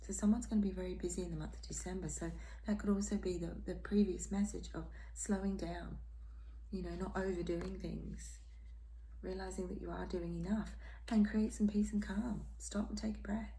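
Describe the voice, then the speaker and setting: A hoarse, croaky woman giving a tarot reading in a soft, half-whispered, reassuring way. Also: medium pitch, fairly even intonation, normal speed, slight background noise, low-quality recording. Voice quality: croaky, throat-clearing. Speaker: tarot reader. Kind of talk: casual tarot reading monologue.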